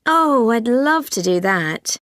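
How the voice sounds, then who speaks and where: A woman's voice: a little high, very animated, slow pace, a bit bright, no echo, studio quality, exaggerated voice. A woman acting a chatty, inquisitive, friendly mum asking for advice in a scripted exam dialogue. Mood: interested, agreeable.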